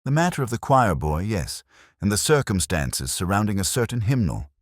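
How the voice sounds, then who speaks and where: A man voicing a courteous, quietly incisive police inspector in a detective story, formal and measured. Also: fairly low pitch, very animated, dry recording, studio quality, pitched above the performer's own voice. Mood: courteous probing.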